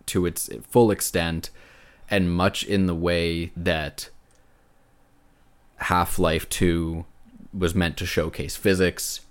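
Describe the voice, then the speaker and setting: A man co-hosting a casual video game discussion, speaking as an earnest, emphatic enthusiast. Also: low voice. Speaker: podcast co-host.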